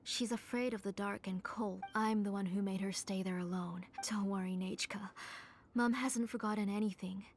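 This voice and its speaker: A woman's voice: medium pitch, fairly even intonation, normal speed, slight background noise, natural voice. An actress playing a grieving, obsessive mother in a video game, dramatic and anguished. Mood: tender, mournful. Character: grieving, obsessive mother, desperate to revive her child.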